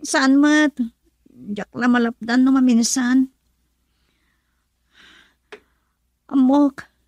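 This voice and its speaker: A woman's voice: sits high, dry recording. An actress playing a sorrowful married woman longing for a child in a melodramatic Ilocano radio drama, with a Filipino accent. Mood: distraught, pleading.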